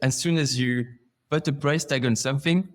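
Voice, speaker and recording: A man's voice: mid-range voice, normal speed. A man from Belgium talking conversationally as a guest in a podcast interview. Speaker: podcast guest, customer success manager.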